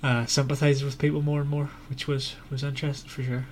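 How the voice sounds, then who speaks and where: A man's voice: medium pitch, little pitch movement, a little fast, a little hiss or hum. A man hosting a podcast, discussing a book in an informal, loosely phrased conversational way. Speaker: podcast host.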